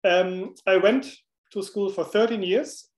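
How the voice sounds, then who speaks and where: A man from Germany with a German accent, presenting a mathematics webinar talk over a video call in a relaxed, friendly way with some fillers. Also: high voice, measured pace. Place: indoor room, on a video call. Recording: roomy echo on a video call.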